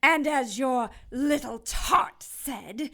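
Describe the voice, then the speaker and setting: A woman's voice: high voice, drawn-out words, a bit bright, exaggerated voice. A woman playing a cruel, power-hungry cherub villainess in an audio drama, taunting and theatrical. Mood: mocking.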